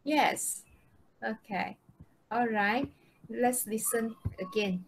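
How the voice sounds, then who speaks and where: Female teacher for an online class, higher register, very unhurried, asks short checking questions. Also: rough recording. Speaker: English teacher. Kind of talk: interactive online lesson. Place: online video call.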